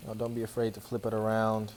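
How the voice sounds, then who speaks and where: A man's voice: lowish voice, completely flat delivery, quick pace, a little mellow, a little hiss or hum. A man giving an informal, playful cooking demonstration, instructing as he goes. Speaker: athlete cooking a dish as guest chef. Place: indoor kitchen.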